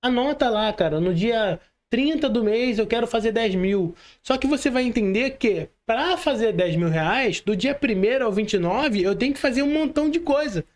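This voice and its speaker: High-pitched male voice, Brazilian Portuguese accent, podcast host, casual, slangy, checks for agreement often. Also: lively intonation, normal speed, few pauses. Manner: informal, slangy, seeking agreement with frequent tag questions. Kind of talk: casual conversational interview.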